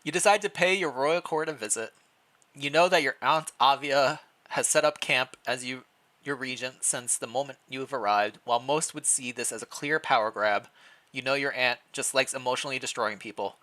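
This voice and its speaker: Bright-voiced man reading a story aloud. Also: mid-range voice, a little fast, poor audio. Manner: stumbles over words while reading aloud, self-deprecating. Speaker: streamer reading fan fiction aloud. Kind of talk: expressive story narration with casual chat.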